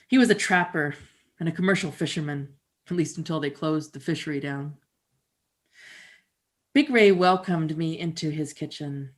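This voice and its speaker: A Canadian woman performing a documentary theater reading in an animated, wry manner, speaking directly to the audience. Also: low voice. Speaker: musician and performer.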